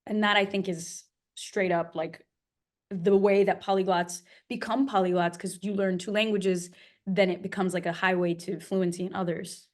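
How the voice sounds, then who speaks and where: A woman co-hosting a casual talk about learning languages, animated and thinking aloud with quick fillers. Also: mid-range voice. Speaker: polyglot co-host. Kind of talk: casual conversation.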